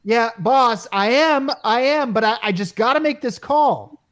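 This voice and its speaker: An actor playing a frantic everyman repairman and husband in a radio comedy, excitable, slangy and stammering. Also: very high-pitched, a little slow, exaggerated voice. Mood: flustered. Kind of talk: 1950s radio comedy acting.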